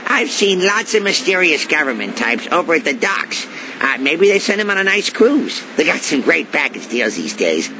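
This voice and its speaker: A man's voice: on the high side, sing-song, normal speed, noisy background. A man voicing a lazy, blustering, self-important police chief in a cartoon game. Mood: casual, bumbling. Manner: blustering, casual. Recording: music behind the voice.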